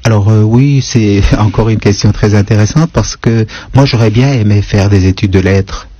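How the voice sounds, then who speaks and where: A man in his sixties from northeast Syria, a native Kurdish speaker, being interviewed on the radio and answering slowly with frequent hesitations. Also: lowish voice, mellow. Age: 60s. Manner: thoughtful, hesitant with many pauses. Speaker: guest writer. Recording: dull radio broadcast, faint noise.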